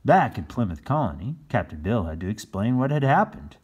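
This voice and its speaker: A man narrating a children's storybook aloud in an expressive read-aloud style. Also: lowish voice, brisk, slightly rough. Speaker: storybook reader.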